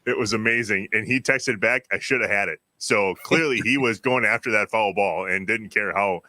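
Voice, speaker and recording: An American man with a slightly low but bright, forward voice. The sound is very dry and close as he asks questions as a casual podcast co-host. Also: moderate pace, no room sound. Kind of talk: casual interviewing.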